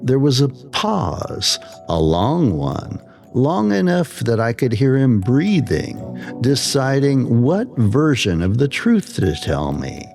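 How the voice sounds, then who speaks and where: A man narrating a scripted slow-burn horror story in a measured way with dramatic pauses. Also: medium pitch, wildly swooping pitch, gravelly, a little mellow, faint music behind.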